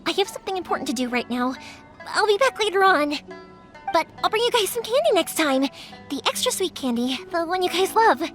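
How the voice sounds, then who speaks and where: A woman's voice: extremely high pitch, a little fast, faint music behind, heavily acted. A woman voicing a cheerful, caring young fireworks maker in a game cutscene. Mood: kind. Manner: lively, caring, determined. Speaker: main character.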